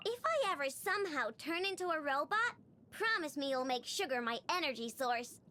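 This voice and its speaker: A woman's voice: high voice, animated, moderate pace, pushed and bright. A woman voicing a perky, opinionated young girl in a video game, emphatic and melodramatic. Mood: perky, dramatic. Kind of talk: scripted game character monologue.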